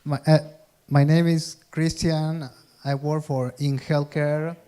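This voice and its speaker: A man from Peru introducing himself at a meeting, halting and searching for words. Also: a little high, flat delivery, dark tone, smooth.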